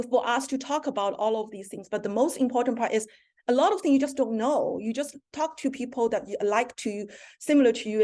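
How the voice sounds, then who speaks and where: Woman speaker, somewhat high voice, halting delivery with restarts, heard over a video call. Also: darkish tone. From China. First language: Mandarin. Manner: halting, frequent restarts and self-corrections. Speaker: seminar speaker, professor. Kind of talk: virtual seminar talk.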